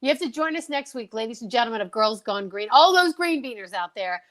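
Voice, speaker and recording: A woman hosting a live online show in an effusive, excitable, casual way. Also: a little high, a little fast. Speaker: host.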